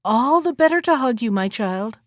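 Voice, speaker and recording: Cunning storybook wolf playing frail grandmother, sly and coaxing, very animated intonation, acted by a woman. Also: a little high, normal speed, dry recording, studio quality, close to an everyday voice. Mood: slyly menacing. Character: cunning male wolf disguised as an old granny.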